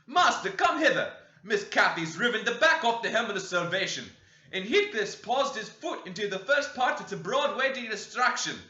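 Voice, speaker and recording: A man doing a croaking, preachy old servant. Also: high voice, sing-song, normal speed, bright, forceful tone, pitched above the performer's own voice, exaggerated voice. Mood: scolding. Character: harsh old male servant, preachy, scolding. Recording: roomy dull lo-fi recording.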